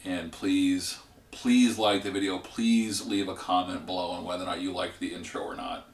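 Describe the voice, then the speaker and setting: A man hosting a gaming channel, explaining a card game deck in a rambling, relaxed way with a congested voice that is giving out. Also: low-pitched, normal speed, roomy sound, far from the mic.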